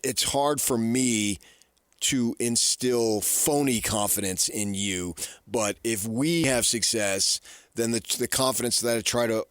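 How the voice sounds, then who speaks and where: Low-voiced, earnest American sports radio man. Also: fairly bright tone, no echo, studio quality. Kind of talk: casual sports commentary. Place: radio studio.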